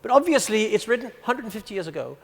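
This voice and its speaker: Danish man, 50s, high voice, conference speaker, storytelling delivery, reverberant hall. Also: very animated. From Denmark. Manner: storytelling, reads a passage aloud with pauses. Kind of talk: presentation to an audience.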